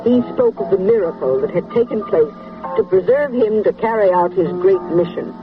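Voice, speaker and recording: A solemn, formal newscaster with a high-set voice, delivering a dramatic bulletin. The old radio recording is dull and has music behind it. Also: male voice, lively intonation, moderate pace. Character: grave, formal television newscaster.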